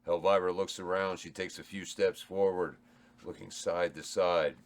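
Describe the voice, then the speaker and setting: Deep-voiced man reading stage directions. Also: level tone, moderate pace. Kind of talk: reading a script aloud.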